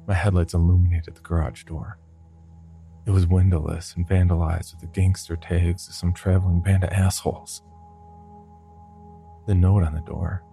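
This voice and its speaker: Deep, dark-voiced man narrating horror. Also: vocal fry. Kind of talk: scripted first-person horror story narration.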